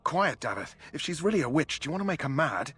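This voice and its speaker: An actor voicing a practical young male soldier recruit who talks brisk sense. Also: on the high side, very animated, a bit bright, husky, soft background music, exaggerated voice. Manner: brisk, sensible.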